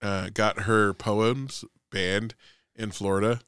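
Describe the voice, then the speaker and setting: Bright, clear-voiced man in his forties. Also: on the low side, fairly even intonation, slow pace, no echo, clean studio sound. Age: 40s. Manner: ranting, profane, gets loud when angry. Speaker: podcast co-host. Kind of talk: casual chat.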